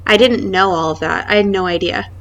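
A woman's voice: mid-range voice, fairly quick, a little hiss or hum. A woman interviewer in casual conversation, sympathetic and chatty, affirming her guest often. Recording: slightly distant, dull recording.

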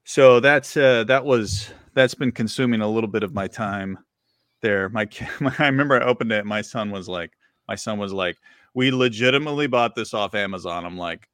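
A man's voice: on the low side, normal speed, fairly bright tone, dry recording, clean studio sound. A middle-aged man co-hosting a podcast, chatting casually with lots of fillers and laughs. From Virginia. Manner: rambling, filler-heavy, laughs often. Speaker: podcast co-host. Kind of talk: casual chat.

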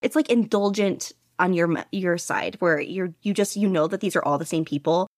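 A woman's voice: on the low side, normal speed, dry recording, clean studio sound. A woman hosting a casual podcast chat, talking enthusiastically with lots of fillers and laughter. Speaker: podcast host.

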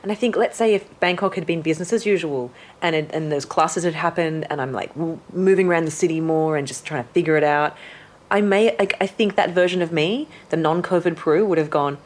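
Female co-host, chatty storyteller who slips into voices and laughs a lot; slightly low pitch. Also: a little fast. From living in Thailand. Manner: animated storytelling, laughs often, mimics voices. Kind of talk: casual chat.

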